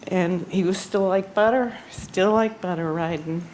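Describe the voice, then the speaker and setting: A woman giving a memorial tribute at a gathering, speaking haltingly with frequent pauses and ums. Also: mid-range voice, a little hiss or hum, poor audio. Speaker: eulogy speaker. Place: outdoor barn gathering.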